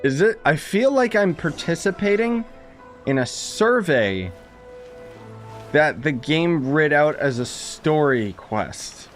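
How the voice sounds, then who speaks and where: A man's voice: on the high side, lively intonation, slow pace. A man giving casual live game commentary as a streamer, wry, sarcastic and rambling. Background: game music.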